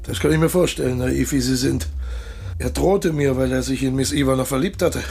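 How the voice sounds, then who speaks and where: An actor in a radio drama playing a suave, cold-blooded traitor colonel, courteous and condescending, with a husky, gravelly voice. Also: male voice, mid-range voice, fairly even intonation, a little fast, darkish tone, faint music behind, close to an everyday voice. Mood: smug, explaining.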